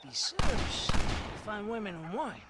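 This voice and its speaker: A man's voice: sits high, big pitch swings, slow, very noisy, heavily acted. A man playing a sly, jovial, lecherous Mexican army captain in a video game cutscene, with a Mexican accent and an oily, theatrical way of talking. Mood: oily, amused. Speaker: game character actor. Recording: loud horse and outdoor ambience.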